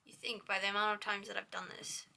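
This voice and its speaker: A young woman with a hoarse, raspy voice hosts a casual crochet tutorial. She chats with a lot of "like" and counts her stitches in a soft whisper. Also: medium pitch, completely flat delivery, fast talker. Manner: chatty, with lots of "like".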